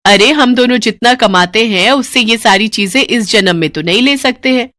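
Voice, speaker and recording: A woman voicing a sharp-tongued, defensive wife in a cartoon story, brazen and sarcastic with dramatic retorts. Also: a little high, moderate pace, no room sound, clean studio sound, pitched above the performer's own voice, lightly acted. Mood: indignant, taunting. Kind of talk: Hindi cartoon story dialogue.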